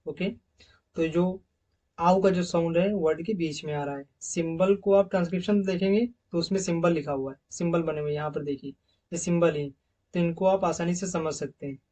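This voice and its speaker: A man walks through phonetics slides in a classroom-lecture manner. He stretches out and repeats each example word at a louder, emphatic level, then drops back to quick explanations. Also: fairly high pitch, fairly even intonation. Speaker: English teacher. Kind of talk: slide-based phonetics lesson.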